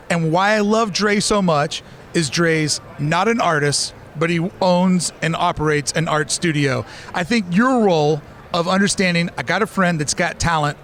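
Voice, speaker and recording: A man hosting a casual interview at a convention, asking quick, upbeat questions. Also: fairly high pitch, a bit bright, a little hiss or hum. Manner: fast, upbeat, chatty questions. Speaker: podcast host interviewing at a convention.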